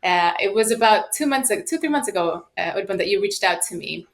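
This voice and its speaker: A woman in her late thirties speaks as a podcast guest. Her voice sits at a middle pitch. She tells personal stories in an animated, emphatic manner, acting out bits of dialogue. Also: brisk. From United States and Sweden. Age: late 30s. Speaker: podcast guest, sales professional. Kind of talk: personal storytelling in an interview.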